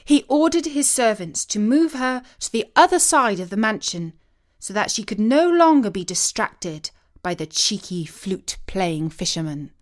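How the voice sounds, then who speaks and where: A woman narrating a scripted story as a storyteller, in an expressive, deliberate and solemn dramatic manner. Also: medium pitch. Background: music.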